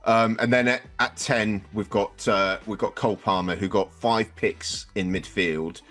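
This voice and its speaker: A man with a low, deep voice hosts a casual sports podcast. He talks conversationally through his points, with faint music playing behind him. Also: fairly even intonation, a little slow, fairly bright tone. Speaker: podcast host.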